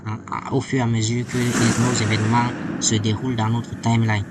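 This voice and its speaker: A man presenting a programming tutorial, thinking aloud as he codes, with a hesitant, halting step-by-step way of explaining. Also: mid-range voice, little pitch movement, very noisy. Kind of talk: screencast tutorial, thinking aloud while coding. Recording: distant mic, loud music behind.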